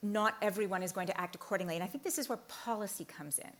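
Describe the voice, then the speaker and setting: A woman moderating a panel discussion on a conference stage, asking measured, probing questions. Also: medium pitch, noisy background.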